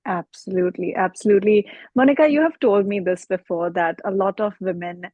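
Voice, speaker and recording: A woman's voice: medium pitch, fairly quick, a little mellow, dry recording. A woman hosting an online interview show, greeting her guest and asking questions with frequent affirming hums. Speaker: talk show host.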